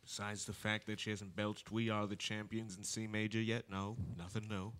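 A man's voice: on the low side, flat delivery, moderate pace, fairly bright tone, clear, resonant tone, lightly acted. A man playing a grumpy, sarcastic middle-aged father in an amateur radio play. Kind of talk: amateur live radio drama acting. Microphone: microphone on stand.

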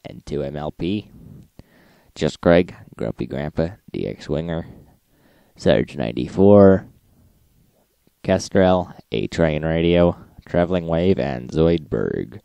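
Male host for informal log recap: mellow, dry-recorded, matter-of-fact delivery. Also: a bass voice, lively intonation, slow, gravelly, clear, resonant tone, dry recording.

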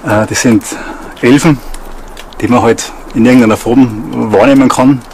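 Mid-pitched man with faint background noise. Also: steady tone, normal speed, darkish tone. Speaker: interviewee. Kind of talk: informal interview talk.

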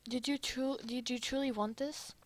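A woman voicing a polite, earnest male anime character with formal, measured speech in an amateur fan drama. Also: high voice, steady tone, lightly acted. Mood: earnest pleading. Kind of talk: amateur fan dub roleplay.

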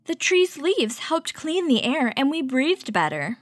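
A woman hosting a children's story time, reading a picture book aloud and teaching with clear, instructive delivery. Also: high-pitched, sing-song, measured pace, no echo, clean studio sound. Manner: clear, instructive, addressing young children with questions.

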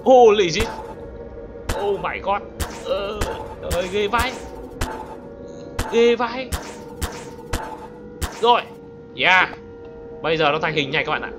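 A man giving casual, exclamatory gameplay commentary as a gaming YouTuber, throwing in English exclamations. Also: high voice. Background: music.

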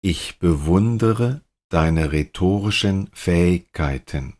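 A native German man with a very low voice reads short practice sentences for learners. He speaks slowly and deliberately, saying each line several times. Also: animated, a little mellow. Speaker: language teacher. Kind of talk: slow repeated example sentences.

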